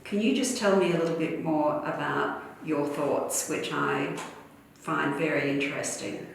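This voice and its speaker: A woman's voice: deep voice, steady tone, measured pace, vocal fry, recorded from a distance, a little hiss or hum. A woman hosting an interview, asking friendly, prompting questions in a casual way. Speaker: interviewer, host. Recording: echoey room, off-mic.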